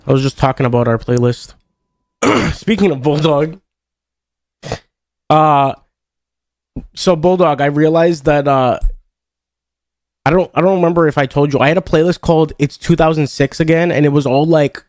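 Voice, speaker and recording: A man's voice: on the high side, no room sound. A young man streaming a card game, chatting casually in a rambling way full of fillers, and stuffed up with congestion. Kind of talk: casual chat while playing a card game.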